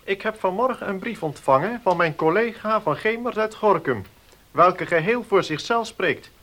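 An actor playing a formal, cautious village notary in a radio play, speaking in a measured, officious manner. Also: male voice, sits high, animated, sharp tone. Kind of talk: Dutch radio play acting.

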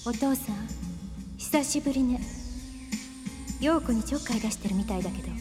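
Radio drama role: actress as no-nonsense bar madam, fairly high voice, matter-of-fact, music behind. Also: female voice, moderate pace, natural voice. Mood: reading aloud. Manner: casual, blunt. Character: blunt bar madam, unimpressed by her visitor.

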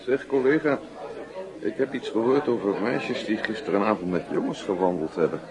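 A man's voice: lowish voice, moderate pace, a crowd in the background, lightly acted, rough recording. An actor playing a prying, officious male schoolteacher in a radio drama. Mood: insinuating.

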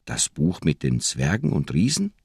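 A man's voice: very deep voice, huge pitch swings, moderate pace, creaky, a little mellow, husky, no echo, exaggerated voice. An actor playing a big, heavyset, loyal and simple-hearted policeman in a radio play, plain and deferential in manner. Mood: puzzled. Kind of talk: radio drama acting.

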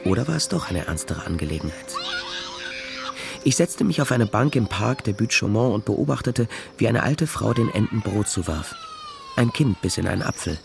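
An actor playing a world-weary private detective who narrates a crime story in a measured, sombre radio drama style. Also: male voice, fairly low pitch, very animated, gravelly, over music. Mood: reflective. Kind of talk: scripted first-person radio drama narration.

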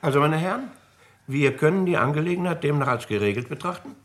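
An actor in his seventies playing a courteous, composed elderly gentleman in a 1960s radio drama, speaking formally. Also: fairly high pitch, animated, fast talker, close to an everyday voice. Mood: businesslike. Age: 70s. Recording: noisy 1960s radio archive recording.

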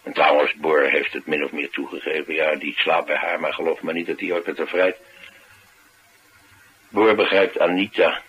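An actor playing a streetwise, talkative driver, confiding and musing in a colloquial radio drama style. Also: male voice, rumbling low, level tone, moderate pace, slightly rough, muffled recording, exaggerated voice. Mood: wry, knowing. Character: streetwise, talkative male driver, wry and brooding. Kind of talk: naturalistic radio drama acting. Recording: noisy radio drama recording.

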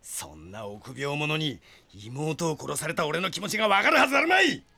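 A man's voice: fairly high pitch, big pitch swings, normal speed, creaky, bright, forceful tone, heavily acted. An actor playing a proud, hot-headed young man bent on revenge in an audio drama, brash and defiant. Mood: defiant, scornful. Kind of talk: Japanese anime-style audio drama acting.

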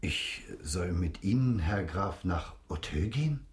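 A guilt-ridden manservant in a radio drama. His husky voice moves widely in pitch as he confesses with haunted agitation. The sound is dry and intimate. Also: expressive, measured pace, no echo, exaggerated voice. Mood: uneasy, frightened. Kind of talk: German radio drama acting.

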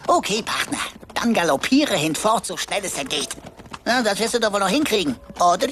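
An actress voicing a bossy, teasing android sidekick with florid, mocking phrasing in a radio drama. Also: female voice, mid-range voice, very animated, brisk, a bit bright, a little hiss or hum. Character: teasing, bossy android sidekick playing a Western gunslinger partner. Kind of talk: German radio drama acting.

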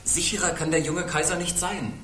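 An actor playing a deferential medieval courtier in a German radio play, courtly, measured and unctuous. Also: male voice, a little high, flat delivery, fairly bright tone, close to an everyday voice. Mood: reassuring, courtly. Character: smooth, deferential medieval courtier reassuring his empress. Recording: roomy echo, faint noise.

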